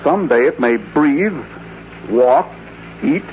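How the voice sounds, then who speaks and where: An actor playing a skeptical, wary newspaper editor with dramatic delivery in an old-time radio drama. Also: male voice, on the high side, animated, slow pace. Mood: declamatory. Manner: reads copy aloud dramatically. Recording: muffled, hissy old radio recording.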